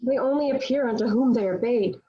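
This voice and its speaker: A woman performs a young, devout peasant girl who is defiant and sure of herself. Her voice is fairly high, with earnest, formal period phrasing. The recording is somewhat dull, as from an online call. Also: fairly quick, dark tone, close to an everyday voice. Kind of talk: dramatic table read of a period stage play. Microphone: video call.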